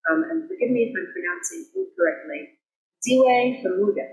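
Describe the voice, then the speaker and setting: A woman minister reading a sermon in an earnest, measured way, with deliberate pauses between phrases. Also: high-pitched, animated, faint music behind. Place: church sanctuary, indoors. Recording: roomy echo of a sanctuary.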